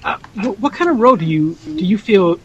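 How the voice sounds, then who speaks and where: A man with a somewhat high voice acts as an interviewer on a podcast panel. He puts his questions in a loose, chatty, conversational way. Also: lively intonation, brisk, fairly bright tone, dull audio. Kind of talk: casual interview chat.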